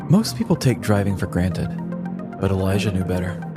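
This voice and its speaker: A man's voice: low voice, very animated, normal speed, over loud music. A man co-hosting a comedy podcast, moving between casual banter and scripted humorous storytelling.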